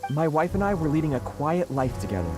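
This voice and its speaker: A man's voice: fairly high pitch, very animated, a little fast, over music. A man playing a humble civilian turned resistance recruit in a video game, speaking sincerely and reflectively. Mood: earnest, thoughtful. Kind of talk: scripted video game character acting.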